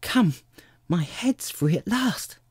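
A small, well-mannered storybook girl, as a reader puts her on. Her voice is pitched far up and moves in lively swoops, earnest and a little bewildered, with a soft breathy grain. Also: normal speed, no room sound, studio quality. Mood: delighted.

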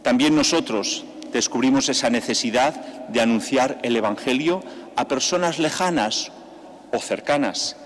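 An older man, a priest, leading mass with a slow, measured liturgical delivery into a stand microphone in a large church. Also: medium pitch. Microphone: stand mic at the altar. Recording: long church reverb, faint background noise.

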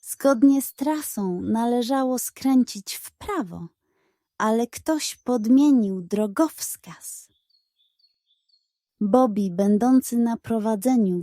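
Slow, mellow woman storyteller. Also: mid-range voice, dry recording, clean studio sound. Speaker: children's bedtime story narrator. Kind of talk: scripted story narration, expressive read-aloud.

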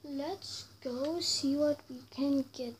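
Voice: sits high, slow. A child doing casual gameplay commentary in short, self-directed remarks, recorded indoors while filming a screen. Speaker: gamer. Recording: noisy room recording off a screen.

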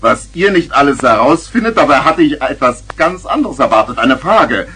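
A mischievous, tippling uncle in a seventies children's audio drama. His voice is extremely husky and rough. He teases theatrically, with big swings in melody. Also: mid-range voice, sing-song, moderate pace, bright, forceful tone, soft background music, exaggerated voice. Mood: teasing, theatrical. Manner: teasing, theatrical, chuckling. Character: playful, theatrical tippling uncle, fond of grand words. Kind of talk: 1970s children's radio play acting.

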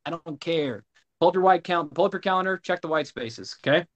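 A man coaching a sales team on a video call, pushy and animated as he drills closing lines. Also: a little high, brisk, dull audio.

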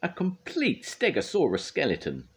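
A man voicing an earnest, excitable museum scientist in a children's storybook reading. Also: mid-range voice, unhurried, husky, voice pushed up, heavily acted. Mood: proud.

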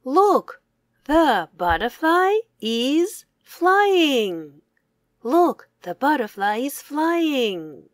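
A young woman reads a children's language lesson at an extremely slow pace. Her high voice rises and falls with lively emphasis, and each word is set apart deliberately. The sound is dry. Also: dry recording. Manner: deliberate, separated words with exclaimed prompts. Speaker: English teacher.

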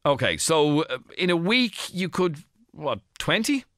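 Middle-aged Irish male talk-radio host; crisp bright tone, animated pitch, halting probing questions. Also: dry recording. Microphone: studio microphone.

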